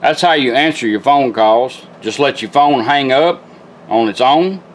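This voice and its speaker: A man's voice: mid-range voice, measured pace, slight background noise. A man giving a casual, plain-spoken, step-by-step hands-on tutorial. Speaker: product demonstrator, radio restorer. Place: indoor workbench.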